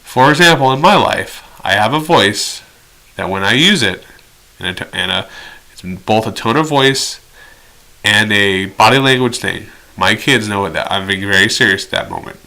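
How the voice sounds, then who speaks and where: A man's voice: fairly low pitch, normal speed, sharp tone, low-quality recording. A man giving an explanatory training lecture as an instructor, direct and instructional with conversational asides. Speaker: instructor in behavior therapy training.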